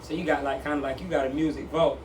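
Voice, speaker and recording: Man, host, casual interviewer, slightly high pitch, faint background noise. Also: echoey room. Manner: relaxed questioning with quick affirmations. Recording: far from mic with faint noise.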